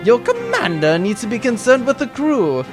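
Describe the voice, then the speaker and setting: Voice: mid-range voice, sing-song, moderate pace, over music, close to an everyday voice. An actor as a pompous villain baron, swooping theatrically. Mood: smug, sarcastic. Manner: sarcastic, pompous, menacing. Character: theatrical gloating villain baron who threatens captives. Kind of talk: comedic audio drama acting.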